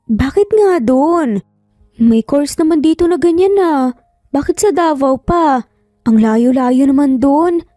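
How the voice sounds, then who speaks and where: A woman's voice: high voice, expressive, slow pace, warm tone, no echo, clean studio sound, soft background music, voice pushed up. A woman voicing a lovesick, pleading teenage younger sister in an emotional audio story. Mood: pleading, upset.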